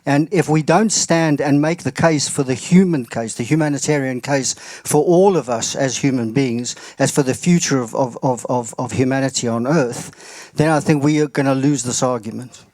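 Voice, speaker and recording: A man makes an earnest audience comment at a symposium, halting on repeated words. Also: mid-range voice, darkish tone, dry recording. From South Africa. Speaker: audience member.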